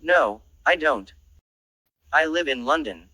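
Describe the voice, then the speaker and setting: Slow, very bright husky male voice. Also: a little high, lively intonation, no echo. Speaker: dialogue reader in an English lesson. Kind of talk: scripted practice dialogue.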